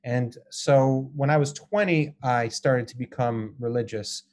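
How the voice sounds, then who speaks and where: An American man with a medium-pitched voice teaches a class online in an informal lecture style. His delivery is halting and hesitant, broken by frequent ums and pauses. The audio comes from a video call. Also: a little mellow. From Israel and Colorado, US.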